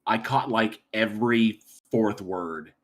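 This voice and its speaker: A man's voice: on the low side, takes it slowly. A man co-hosting a debate show, speaking apologetically and chuckling.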